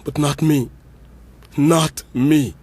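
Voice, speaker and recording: A man's voice: fairly high pitch, steady tone, very slow, darkish tone, husky, heavily acted. A young man, playing a brother who delivers an intense, accusing eulogy at a funeral in an audio drama.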